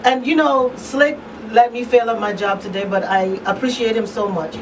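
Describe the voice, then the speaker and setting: A woman's voice: on the high side, steady tone, normal speed. Woman host, off-mic and noisy. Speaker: radio talk show host. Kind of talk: conversational call-in interview. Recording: distant mic, clearly noisy background.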